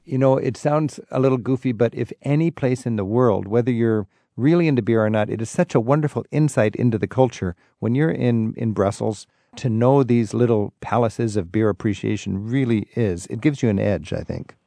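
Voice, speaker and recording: Male radio host, gravelly edge, dry close studio sound, chatty and curious. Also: medium pitch, normal speed, hardly any pauses, warm tone, dry recording. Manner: chatty, laughs easily, asks guests questions. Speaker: radio travel show host. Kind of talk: relaxed radio conversation. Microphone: studio microphone.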